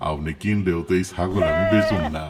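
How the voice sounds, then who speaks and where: A man's voice: low voice, steady tone, brisk, heavy background noise, lightly acted. A man playing a sly, rude goat thief in a cartoon, brusque and gloating, with a colloquial Bangladeshi dialect. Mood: brusque, dismissive. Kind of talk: cartoon voice acting.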